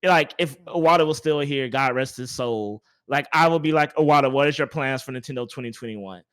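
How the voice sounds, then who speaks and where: A man hosting a casual gaming podcast, talking in an animated, rambling way and repeating phrases for emphasis. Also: medium pitch, brisk, clear, resonant tone. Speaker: podcast host.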